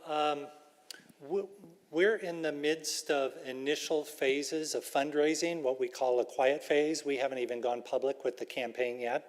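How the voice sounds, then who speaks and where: Man giving careful answers in an echoing hall. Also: mid-range voice. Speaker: school representative. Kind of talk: answering questions at a public workshop.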